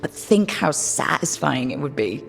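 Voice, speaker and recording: Lowish-voiced, confident, wry adventuring woman. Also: sing-song, measured pace, gravelly, faint music behind, full character voice, low-quality recording. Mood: curious, earnest. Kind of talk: video game character acting.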